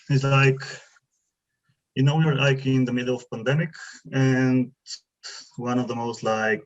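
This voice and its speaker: A young man from Bulgaria, not an English speaker, asking a question on a video call with many fillers as he searches for words. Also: medium pitch. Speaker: webinar participant asking questions. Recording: muffled video call audio.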